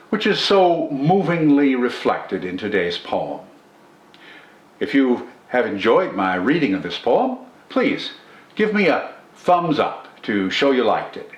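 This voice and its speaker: An older man presenting poetry in a formal, measured, scripted style, enunciating carefully. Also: mid-range voice. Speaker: host of a poetry reading channel. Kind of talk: scripted literary commentary and poetry recitation. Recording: roomy indoor echo.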